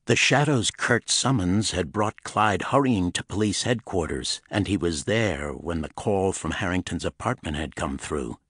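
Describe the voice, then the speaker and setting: Low, husky male thriller narrator. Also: animated, measured pace, few pauses, a bit bright, no room sound. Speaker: audiobook narrator. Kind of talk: dramatic pulp thriller narration.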